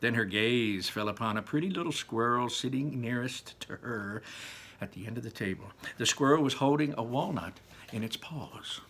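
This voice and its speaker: A man reading a children's book aloud in an animated way, dropping to whispers for effect. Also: medium pitch, normal speed, a little hiss or hum. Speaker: read-aloud narrator.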